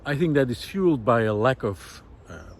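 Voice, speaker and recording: A man speaking a reflective, unscripted monologue, thoughtful and halting as he searches for words. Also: medium pitch, very animated. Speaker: host of a nature project podcast. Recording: outdoor city noise, wind, distant traffic. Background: faint city noise, wind, vehicles.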